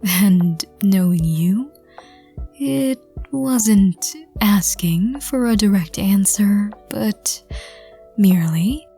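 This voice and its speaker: A soft-voiced woman narrates an audio roleplay at a very slow pace. Her voice is breathy and mellow, often close to a whisper, with faint music behind. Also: medium pitch, expressive.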